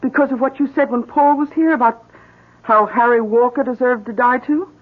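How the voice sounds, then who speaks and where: An actress playing an anxious, caring wife in 1970s radio drama style, earnest and hesitant. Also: female voice, a little high, a little fast, natural voice. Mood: anxious, soothing. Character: Sarah, anxious, caring wife of a troubled artist. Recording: dull 1970s radio recording.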